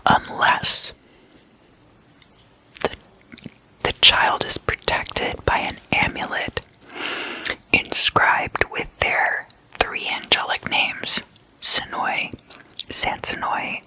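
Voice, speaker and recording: An ASMR host whispering throughout in a hushed, unhurried, explanatory way. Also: poor audio.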